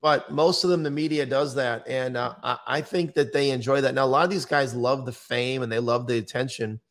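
American male podcast guest, middle-aged, mid-range pitch, matter-of-fact and conversational. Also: steady tone, fairly quick, fairly bright tone. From United States. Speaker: podcast guest, true-crime interviewer and author. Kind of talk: conversational interview talk. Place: indoor room, on a video call. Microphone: microphone on boom arm.

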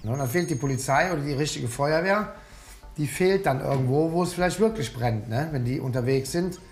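A man's voice: on the high side, brisk, a little mellow, soft background music, natural voice. Patient policeman in a roomy office played by a man. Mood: gently admonishing. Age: middle-aged. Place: small police office, indoors.

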